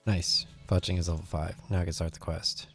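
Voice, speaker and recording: A man's voice: low-pitched, slightly rough. A man hosting a gaming video, giving casual gameplay commentary and thinking aloud in a rambling way.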